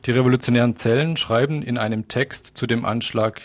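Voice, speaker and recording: A man's voice: medium pitch, steady tone, fairly quick. A man delivering a scripted, polemical political radio commentary with a sardonic edge.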